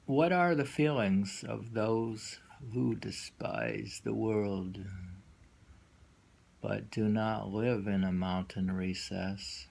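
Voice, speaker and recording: A man reading poetry aloud and discussing it informally, in a musing, halting way that lingers and repeats lines. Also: lowish voice, creaky, a little mellow. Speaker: poetry reader and host.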